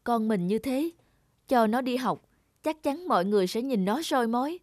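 A woman's voice: on the high side, animated, moderate pace, darkish tone, no room sound, studio quality, natural voice. A woman voicing an anxious, protective young mother in a dubbed cartoon drama. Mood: worried.

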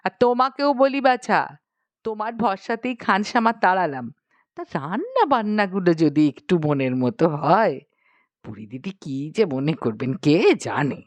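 A fretful middle-aged queen mother from a storybook, acted out by a woman reader. Her voice sits low and she chides like a nagging, worried parent, exclaiming as she goes. The sound is dry and close. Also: big pitch swings, a little slow, no echo. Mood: chiding. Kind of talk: dramatised storybook reading.

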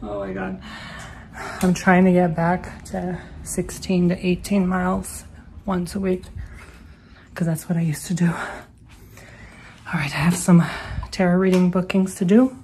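A man's voice: high-pitched, little pitch movement, a little slow, long pauses, a little mellow, a little hiss or hum. A man in his thirties chatting casually at home into a handheld phone, rambling and filling his talk with "like". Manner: chatty, rambling, heavy use of "like". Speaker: vlogger. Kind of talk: casual vlog chat. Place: home, indoors. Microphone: handheld phone camera.

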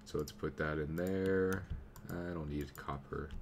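Very deep, slow man with a cold. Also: steady tone, noisy background. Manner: easygoing, thinking aloud while playing. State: slight cold, clearing throat. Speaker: gameplay commentator. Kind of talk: casual let's play commentary.

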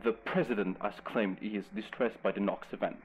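An actor playing a grave radio news host, reading a scripted bulletin in a measured, urgent way over a lo-fi broadcast. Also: male voice, on the low side, fairly even intonation, music in the background, natural voice. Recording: dull lo-fi radio broadcast sound.

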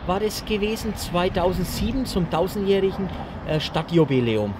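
A man's voice: sits high, moderate pace. A man hosting a casual interview about faith outdoors, putting questions and prompts to his guest. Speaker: interviewer and host. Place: outdoors on a residential street. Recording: clear outdoor street noise.

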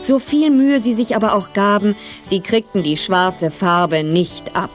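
A woman's voice: medium pitch, measured pace, music in the background. A woman narrating a children's story in a scripted storytelling style.

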